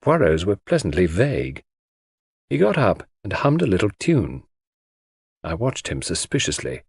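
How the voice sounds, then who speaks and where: A man's voice: low voice, huge pitch swings, normal speed, vocal fry, slightly rough, dry recording. A man reading scripted first-person mystery narration as an audiobook narrator.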